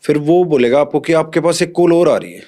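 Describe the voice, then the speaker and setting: A man's voice: on the high side, lively intonation, a little fast, mellow. A man speaking as a police officer guest in an interview, explaining things step by step with direct examples to the listener.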